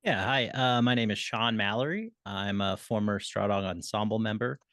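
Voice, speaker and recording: A man's voice: lowish voice, moderate pace, a bit bright, no room sound, studio quality. A middle-aged man casually introducing himself as a panelist on a video call. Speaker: panelist, lighting designer.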